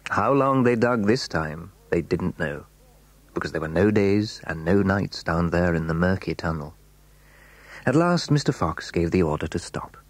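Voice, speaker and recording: A man narrates a children's story in a low, husky voice. The sound is dry and close, with the measured delivery of a scripted reading. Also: expressive, dry recording.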